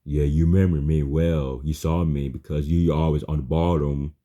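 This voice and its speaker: A man with an extremely deep, very dark and mellow voice talks casually. His phrasing is halting and repetitive, circling back over the same words in non-fluent sentences. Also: little pitch movement. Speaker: chatbot user. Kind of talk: casual spoken chat.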